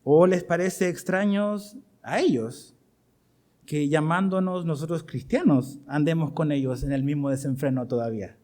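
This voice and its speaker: A man's voice: fairly high pitch, moderate pace, mellow. A middle-aged man with a Chilean accent preaching to his congregation as pastor, asking them questions as he goes. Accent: Chilean Spanish. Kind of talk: sermon.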